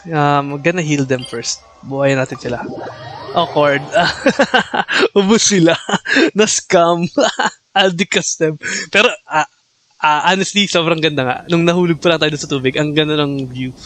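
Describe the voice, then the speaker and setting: Excitable Filipino man streaming a game. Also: fairly high pitch, moderate pace, faint music behind. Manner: excitable, bursts into shrieks and laughter. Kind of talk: live gameplay commentary mixing Tagalog and English.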